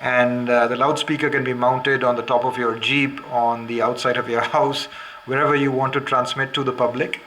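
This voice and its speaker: A man's voice: mid-range voice, flat delivery, normal speed, fairly bright tone, slight background noise. A man presenting a product in an instructional demonstration, explaining methodically step by step.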